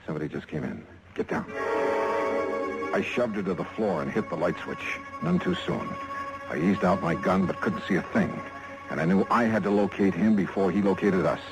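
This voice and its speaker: An actor playing a tough, dry-witted insurance investigator in clipped 1950s radio detective style. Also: male voice, low-pitched, expressive, normal speed, poor audio. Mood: hardboiled, terse. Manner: clipped, terse, hardboiled. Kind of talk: 1950s hardboiled radio acting and first-person narration. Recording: vintage radio drama with music behind.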